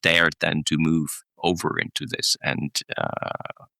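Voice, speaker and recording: A man's voice: extremely low pitch, flat delivery, slow, gravelly, bright, forceful tone, dry recording, studio quality. A middle-aged man, telling stories thoughtfully as a podcast guest, with many restarts and small chuckles. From Germany. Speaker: guest, executive coach. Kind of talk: podcast interview, reflective storytelling.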